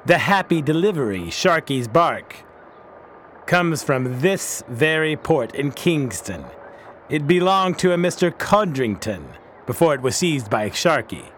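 A man's voice: a little high, sing-song, a little slow, fairly bright tone, slight background noise. A man playing an earnest, pious reformed pirate captain in a radio drama, speaking bluntly in formal old-fashioned language. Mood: determined.

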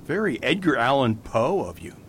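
An actor playing a mild, whimsical priest detective in a radio drama, musing aloud with theatrical emphasis. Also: male voice, very animated, normal speed, a bit bright. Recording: faint rumbling background noise.

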